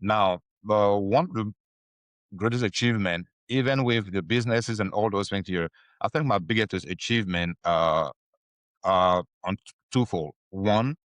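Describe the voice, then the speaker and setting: Deep-voiced man with an Ivorian French accent. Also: normal speed, a bit bright, no echo. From Ivory Coast. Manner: hesitant, many fillers and restarts. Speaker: podcast guest. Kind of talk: casual interview talk.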